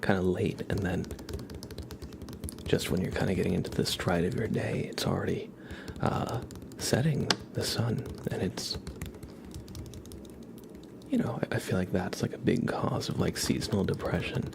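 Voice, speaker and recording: A man hosting an ASMR livestream, chatting casually and softly in a gentle, rambling way, often slipping into a whisper. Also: low voice, moderate pace, creaky, pauses often, background noise. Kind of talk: soft-spoken ASMR with casual livestream chat.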